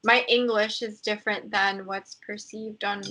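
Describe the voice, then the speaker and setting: A woman's voice: mid-range voice, flat delivery, measured pace, bright, forceful tone, rough recording. A young American woman chatting casually as a podcast guest, rambling with lots of fillers. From United States. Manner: chatty, lots of likes and ums. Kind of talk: casual chat.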